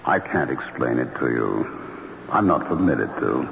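An actor playing a courteous, lovelorn male ghost in an old-time radio drama, speaking formally and gently. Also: extremely low pitch, sing-song, unhurried, creaky, full character voice. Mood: gentle, admiring. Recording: muffled, noisy old radio, long echo.

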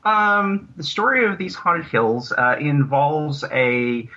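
Bright-voiced man, halting and rambling. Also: on the high side, lively intonation. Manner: halting, rambling, self-correcting explanations. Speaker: audio drama creator, podcast guest. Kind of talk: casual interview talk. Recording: dull lo-fi connection.

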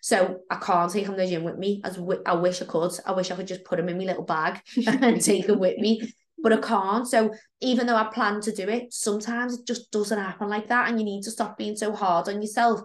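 A woman, a fitness coach co-hosting a podcast, chatting frankly with a croaky voice from a cold. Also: mid-range voice, fairly even intonation, a little fast. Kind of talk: casual podcast chat.

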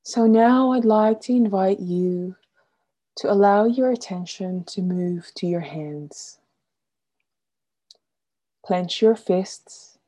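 Slow, very mellow woman guiding relaxation. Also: mid-range voice. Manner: soothing, gentle, deliberate instruction with soft trailing phrase endings. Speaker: guided meditation instructor. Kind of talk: guided relaxation meditation, scripted instruction.

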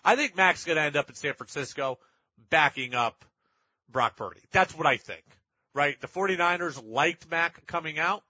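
A man's voice: on the high side, animated, normal speed, sharp tone, no echo, lo-fi sound. A man hosting sports talk radio, giving opinionated commentary in an emphatic way and repeating points for effect.

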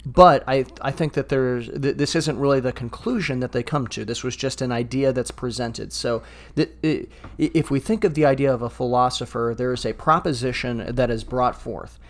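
A man's voice: medium pitch, normal speed, few pauses. A man co-hosting a discussion podcast as a pastor, thinking aloud earnestly with frequent stammered restarts.

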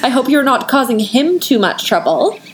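A woman playing a proper, genteel young lady in a period audio play, prim and gently scolding. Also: sits high, lively intonation, moderate pace, poor audio. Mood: polite, wistful. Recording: noticeable background noise.

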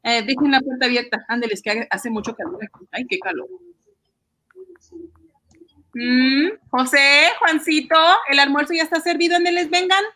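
A woman's voice: sits high, steady tone, moderate pace, pushed and bright. A woman with a Peruvian accent playing a fussy, affectionate housewife and mother in an amateur radio drama, coaxing and exclaiming. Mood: bustling. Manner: exclaiming, repetitive, coaxing, sighing. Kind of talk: amateur Spanish-language radio drama acting.

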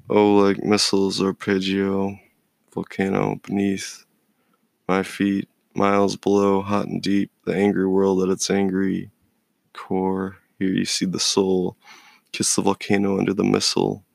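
Male poet, deep voice with extremely heavy fry, brooding and measured spoken-word delivery. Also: no room sound. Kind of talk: spoken-word poetry recital.

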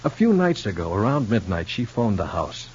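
A dull old radio recording with a faint hum holds a worried, earnest brother. His voice is low and he feels his way through sentences haltingly, in sincere soap opera style. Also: sing-song, darkish tone. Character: earnest, worried, hesitant brother, a decent ordinary fellow.

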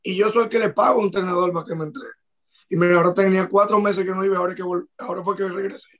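A man with a Dominican Spanish accent, telling stories in a relaxed way in a casual panel chat. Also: high voice, rapid-fire. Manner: relaxed storytelling. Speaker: podcast panelist.

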